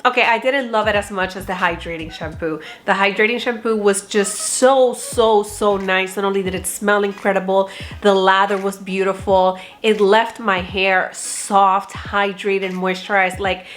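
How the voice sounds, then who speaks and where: A young woman with a nasal, congested voice, chatting slangily and casually as she walks through her hair routine. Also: mid-range voice. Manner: chatty, slangy, animated with swearing and laughs. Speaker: beauty vlogger. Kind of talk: casual hair tutorial vlog.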